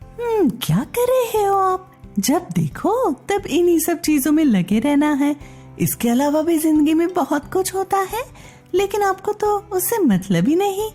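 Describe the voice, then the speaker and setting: A woman voicing an eager, nagging young wife and daughter-in-law in a whiny, excitable, girlish way for a story. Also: very high-pitched, a little slow, faint music behind, voice pushed up, heavily acted.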